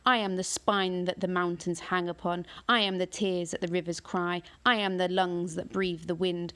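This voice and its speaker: A woman reading aloud, voicing a huge ancient male tree monster with slow, booming declamation. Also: fairly even intonation, close to an everyday voice.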